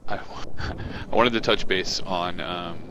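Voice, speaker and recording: A man, a guild officer, laying out guild rules over voice chat in a halting, rambling way, his mic overloading and scratchy. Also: sits low, steady tone, bright, forceful tone, muffled recording. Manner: rambling, halting, many fillers. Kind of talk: casual voice chat announcement. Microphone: overloading headset mic.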